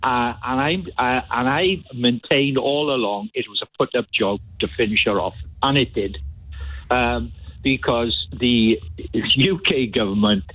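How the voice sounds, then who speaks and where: A man's voice: mid-range voice, unhurried. A man with a Welsh accent calling a radio show, insistent and conspiratorial in tone. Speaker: caller. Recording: phone line.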